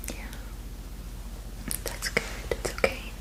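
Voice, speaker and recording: A woman whispering gently and reassuringly in an ASMR roleplay as a soothing witch healer, with a breathy, unvoiced voice. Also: slow, low-quality recording. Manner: gentle, soothing, reassuring, repeating soft words. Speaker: ASMR performer.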